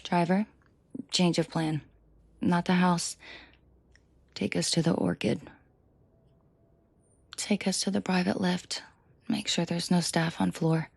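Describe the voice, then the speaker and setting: A woman playing a commanding, protective and seductive mafia boss in an intimate audio roleplay, speaking in a breathy, controlled murmur that often drops to a half-whisper. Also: on the low side, flat delivery, no room sound, heavily acted. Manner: slow, deliberate, controlled murmur addressed closely to listener.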